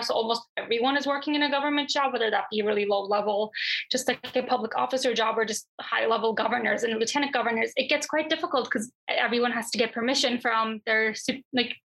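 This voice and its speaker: A woman's voice: a little high, fairly bright tone, slightly rough, poor audio. A young woman from Turkey in her twenties, a journalist answering interview questions in a chatty, thoughtful way. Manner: chatty and thoughtful, with frequent fillers and restarts. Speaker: guest, journalist.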